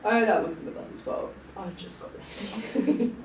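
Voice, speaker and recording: A woman plays an encouraging, gently teasing young woman in a drama read-through. Her mid-pitched voice is picked up from a distance, with strong room echo. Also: measured pace, close to an everyday voice. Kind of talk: table read of a drama script. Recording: distant, noisy, echoey room recording.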